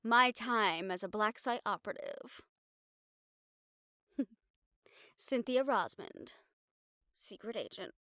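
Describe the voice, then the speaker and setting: A woman acting a young reporter girlfriend in an intimate audio roleplay, wistful but bravely upbeat, musing in halting, expressive phrases. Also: mid-range voice, no echo, studio quality. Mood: wry, playful.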